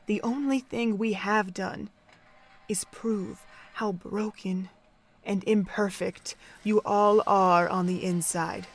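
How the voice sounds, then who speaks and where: A woman playing a cold, scornful female pony overseer in an audio drama, speaking in deliberate, contemptuous monologue. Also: mid-range voice, steady tone. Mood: scornful, intense.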